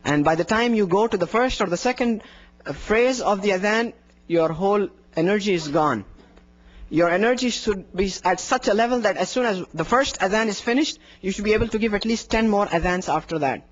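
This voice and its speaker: A young man leads an indoor workshop, explaining technique step by step and demonstrating with his voice. His voice sits high for a man. He speaks into a handheld microphone on a dull, lo-fi video recording. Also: brisk. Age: young adult. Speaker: adhan instructor. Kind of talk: workshop lecture. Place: indoor room in front of a plain wall. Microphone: handheld microphone plus lapel mic.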